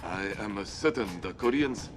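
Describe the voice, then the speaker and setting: Man playing a halting, formal admiral. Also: fairly low pitch, expressive, over music, close to an everyday voice. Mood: cautious, concerned. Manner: halting, careful, with frequent pauses. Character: hesitant, formal male foreign admiral, worried. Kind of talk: video game cutscene acting.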